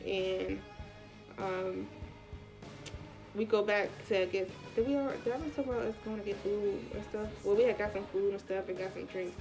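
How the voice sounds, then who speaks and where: A woman's voice: medium pitch, normal speed, music in the background. An American woman vlogger with a congested, stuffy voice, chatting casually through a personal story in colloquial speech and acting out the dialogue as she goes. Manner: colloquial, animated retelling with quoted dialogue.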